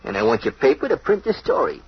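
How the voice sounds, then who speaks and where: A man's voice: mid-range voice, big pitch swings, quick pace, slightly rough. An actor playing a slangy, defensive crook pleading his innocence in an old-time radio crime drama. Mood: secretive, urgent. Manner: slangy tough-guy talk. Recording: dull, muffled vintage radio recording.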